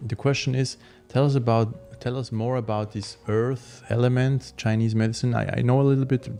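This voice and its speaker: A man hosting a podcast, reading out listener questions in a relaxed, casual way. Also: fairly low pitch. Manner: brief backchannels, relaxed. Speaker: podcast host. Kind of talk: casual interview.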